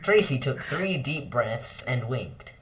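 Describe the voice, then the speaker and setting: A man's voice: mid-range voice, lively intonation, slow pace, reverberant. Guy reading out a fan story as narrator, takes his time, amateur dramatic reading. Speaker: narrator and reader of fan fiction.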